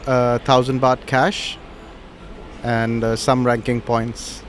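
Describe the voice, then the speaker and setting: A man's voice: medium pitch, little pitch movement, slow, background noise. Polite Indian-accented man, tournament organizer. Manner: polite, hesitant, many uhs. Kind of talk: casual interview.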